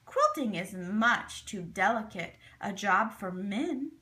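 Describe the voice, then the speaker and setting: A woman's voice: mid-range voice, lively intonation, takes it slowly, pushed and bright, pitched below the performer's own voice. A woman voicing a proud, curious quilting club president in a prim, musing storybook voice. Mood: dismissive. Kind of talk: storybook character voice.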